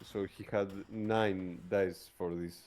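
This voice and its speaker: A man's voice: deep voice, fairly even intonation, slow, a little mellow. A man playing a tabletop game, asking tentative questions to check he understands the rules. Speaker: player new to the game system.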